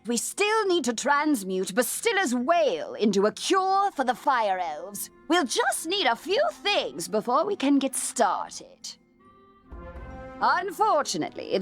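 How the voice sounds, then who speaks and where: An actress playing a brisk, gossipy, wry outcast alchemy professor in a video game. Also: female voice, high voice. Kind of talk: video game character dialogue.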